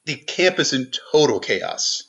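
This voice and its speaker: Bright-voiced man explaining, slightly lo-fi. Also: mid-range voice, sing-song, unhurried, gravelly. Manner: explanatory, stumbles and restarts often. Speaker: guest commentator. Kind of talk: podcast analysis, unscripted discussion.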